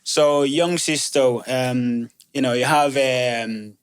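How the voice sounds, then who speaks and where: Friendly podcast host, dry studio sound. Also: male voice, medium pitch, fairly even intonation, takes it slowly, clean tone, no room sound. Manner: affirming, frequent "yeah, yeah, yeah". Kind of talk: casual podcast hosting.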